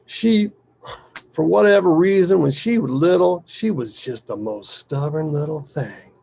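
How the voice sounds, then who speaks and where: A rough, foul-mouthed dad acted out by a male narrator tells a fond family tale in a dramatic monologue. His voice is raised and creaky, and he punches his words with colloquial emphasis. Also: a little high, animated, measured pace, warm tone, voice pushed up, full character voice. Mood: animated, fond. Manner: emphatic, colloquial, swearing storyteller. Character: rough, foul-mouthed, animated father reminiscing fondly about his daughter.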